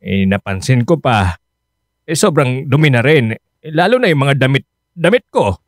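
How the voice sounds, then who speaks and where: A man's voice: mid-range voice, very animated, moderate pace, no echo. An actor playing a concerned, insistent middle-aged Filipino father in a radio drama, speaking earnestly. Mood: hesitant, casual. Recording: dry studio with music underneath.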